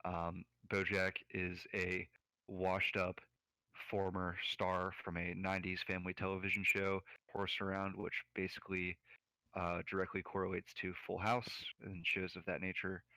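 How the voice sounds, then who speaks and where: A man's voice: deep voice, monotone, normal speed, sharp tone, no echo, low-quality recording. A man from the United States co-hosting a casual podcast, rambling with lots of fillers and "like"s. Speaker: podcast co-host.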